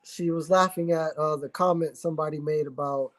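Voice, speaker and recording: Male guest, mid-30s, slightly high voice, easygoing livestream chat. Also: steady tone, a little fast. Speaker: guest artist.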